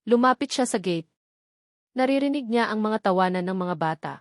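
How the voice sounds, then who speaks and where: Female story narrator, medium pitch, scripted romantic drama read, very dry recording. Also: lively intonation, moderate pace, dry recording.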